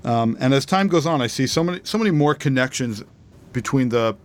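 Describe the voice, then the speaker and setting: A man's voice: medium pitch, animated, fairly quick. Male co-host, middle-aged, mid-range voice, earnest and rambling in informal discussion. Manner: earnest, rambling with repeated words. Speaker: podcast co-host. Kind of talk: casual podcast discussion.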